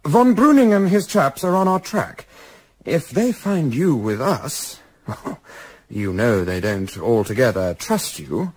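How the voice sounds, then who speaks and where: Young English gentleman adventurer male actor, husky edge, lively intonation, clipped and formal, cool-headed, radio drama. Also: medium pitch, slow, full character voice. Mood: cool, resolute. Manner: clipped, formal, self-assured. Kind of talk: radio play acting.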